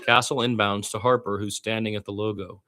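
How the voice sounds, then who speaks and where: A man's voice: on the low side, fairly even intonation, a little fast, bright, forceful tone. A man doing amateur radio-style basketball play-by-play in short clipped phrases, with opinionated asides as a partisan fan.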